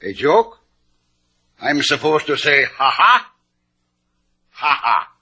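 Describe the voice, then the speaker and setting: A florid hotel keeper in an old radio show. His piercing, raspy voice swings up and down through theatrical, flowery phrases. He is excitable and slippery. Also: a little high, slow pace, full character voice. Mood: evasive, flustered. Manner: flowery, theatrical, speaks of himself by name. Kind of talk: 1950s radio drama acting.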